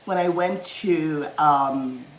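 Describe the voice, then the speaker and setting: A woman's voice: deep voice, takes it slowly, echoey room, slight background noise, poor audio. A woman, chatting casually with viewers as she cooks on a livestream, laughing easily. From Guyana. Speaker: livestream cooking host. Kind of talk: casual livestream cooking chat.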